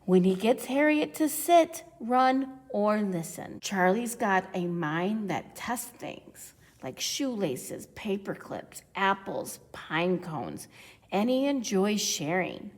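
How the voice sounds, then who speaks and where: A woman reading a children's picture book aloud with deliberate, expressive phrasing. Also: medium pitch. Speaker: storybook reader. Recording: echoey, long reverb.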